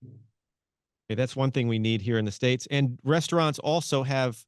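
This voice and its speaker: A man hosting a radio interview, chatty and animated as he asks his guest questions. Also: medium pitch, normal speed, smooth, no echo, clean studio sound. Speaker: radio host. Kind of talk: casual interview chat. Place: radio studio.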